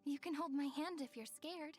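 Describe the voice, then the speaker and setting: A woman playing a gentle, playful young girl in a video game, with a sweet, wistful, softly teasing manner. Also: high-pitched, normal speed, soft background music, close to an everyday voice. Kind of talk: video game character voice lines.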